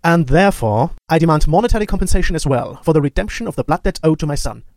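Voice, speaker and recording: A man plays a haughty aristocratic wizard villain for an audiobook, pitched a bit high. He talks briskly and formally, with smug, cold menace, in a very dry recording. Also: animated, darkish tone, no room sound. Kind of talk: audiobook character acting.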